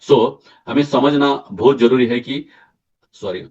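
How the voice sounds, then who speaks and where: A man with a slightly hoarse throat gives a product training talk, explaining slides and checking in with "right?" as he goes. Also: mid-range voice, darkish tone. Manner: mixes English terms into Hindi, halting restarts. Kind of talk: sales training presentation. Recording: distant mic, dull lo-fi sound.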